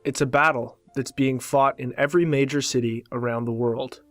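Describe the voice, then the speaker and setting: Measured male documentary narrator, dry sound. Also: mid-range voice, steady tone, no room sound, faint music behind. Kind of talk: scripted narration.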